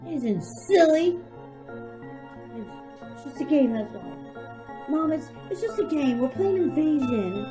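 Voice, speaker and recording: Young girl, brisk and evasive, played by a woman, lively pitch, radio-play feel. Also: music in the background. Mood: defensive. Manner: hurried, brushing off her mother. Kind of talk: radio drama acting.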